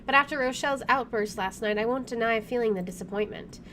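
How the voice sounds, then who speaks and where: A woman's voice: on the high side, fast, fairly bright tone, slight background noise. A woman game streamer doing a visual novel playthrough, moving between reading narration and casual commentary.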